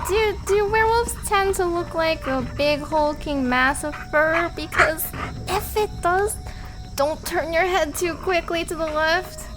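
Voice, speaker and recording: A woman's voice: extremely high pitch, a little slow, heavy background noise. A woman playing a nervous, wry visitor in an audio drama, rambling and hesitant. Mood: uneasy. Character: nervous, wry human woman on a park tour. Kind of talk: fiction podcast audio drama acting.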